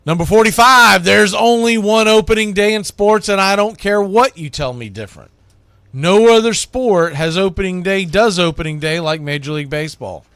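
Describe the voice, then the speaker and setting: A man's voice: high-pitched, normal speed, clear, resonant tone, no room sound, studio quality. A middle-aged man hosting a sports talk monologue, speaking in an emphatic, folksy, conversational way like a play-by-play announcer working through a list. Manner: emphatic, announces each item loudly then drops into quieter musing. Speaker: radio host and baseball play-by-play announcer.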